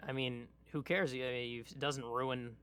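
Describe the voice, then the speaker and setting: Guy cohost on a podcast, crisp bright voice, casual, kinda halting as he thinks out loud. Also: mid-range voice, level tone. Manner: halting, tentative, thinks aloud. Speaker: podcast co-host. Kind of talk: casual pop culture chat.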